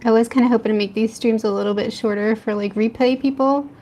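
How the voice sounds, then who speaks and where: A woman's voice: on the high side, steady tone, fast, darkish tone. A woman from the East Coast of the United States with an American accent, chatting casually with viewers while she draws late at night at home and often laughing between remarks. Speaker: art streamer. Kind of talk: casual chat while drawing, answering live chat.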